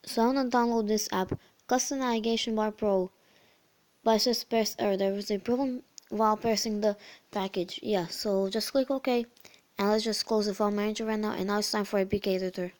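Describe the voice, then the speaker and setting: A host casually talking through a phone tutorial over a screen recording, her voice a bit croaky, often repeating words and filling with "so yeah". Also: mid-range voice, steady tone, brisk, darkish tone. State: slightly croaky voice. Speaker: channel host.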